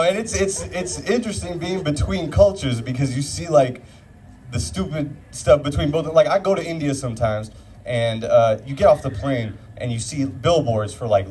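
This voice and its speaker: A man's voice: mid-range voice, moderate pace, darkish tone, recorded from a distance, slight background noise. Self-mocking young man doing stand-up. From Orange County, California. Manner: self-deprecating, rambling with filler words. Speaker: stand-up comedian. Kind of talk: stand-up set. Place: comedy club at a brew pub.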